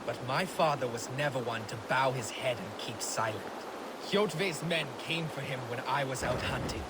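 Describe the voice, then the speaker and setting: A man's voice: mid-range voice, moderate pace, lightly acted. A man playing a Viking crewman, a wry storyteller in a video game. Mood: grave, regretful. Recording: loud sea and boat noise.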